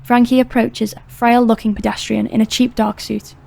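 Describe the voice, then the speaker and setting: Narrator, female: scripted crime audiobook reading, mellow tone, slightly high register, steady. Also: normal speed, creaky.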